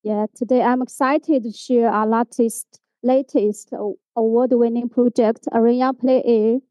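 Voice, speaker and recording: High, mellow Chinese-accented woman presenting. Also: steady tone, unhurried, dry recording. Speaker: graphic designer giving a presentation. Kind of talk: prepared conference presentation.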